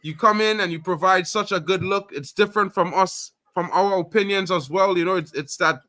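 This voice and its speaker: A man from Trinidad hosting a casual live-stream chat, animated and loud, full of fillers like "you know what I mean". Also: high-pitched, a little fast, no room sound. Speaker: host.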